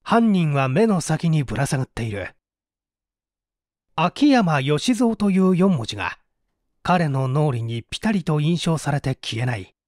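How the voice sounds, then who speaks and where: A man narrating a detective story as an audiobook, with theatrical, deliberate phrasing. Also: on the high side, very animated, a little mellow, dry recording, clean studio sound. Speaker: audiobook narrator, stage actor.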